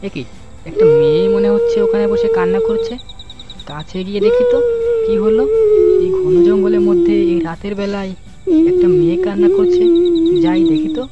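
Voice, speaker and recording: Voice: very high-pitched, big pitch swings, brisk, highs cut off, over loud music. An actor voicing a kindly, cautious village man in a cartoon, with a concerned, hesitant manner. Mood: worried. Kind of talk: Bengali children's cartoon voice acting.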